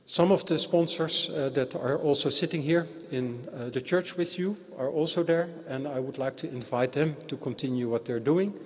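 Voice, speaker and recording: A man giving a ceremonial speech and introducing a guest speaker, measured and hesitant, with frequent fillers. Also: fairly high pitch. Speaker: institute representative introducing speaker. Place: large old church. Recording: long church reverb.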